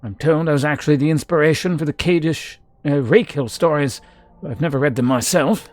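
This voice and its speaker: A man's voice: a little high, a little fast, no echo, voice pushed up, natural voice. A man voicing a proud, theatrical mercenary archaeologist from a fantasy game, telling his past in florid, formal speech. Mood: nostalgic, reflective. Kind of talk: character voice in a fantasy game read-through.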